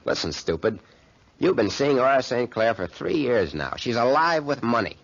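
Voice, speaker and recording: An actor playing a selfish, sneering young American college playboy in a 1940s radio drama. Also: male voice, mid-range voice, very animated, moderate pace, vocal fry. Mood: scornful. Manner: sneering, dismissive. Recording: muffled 1940s radio recording.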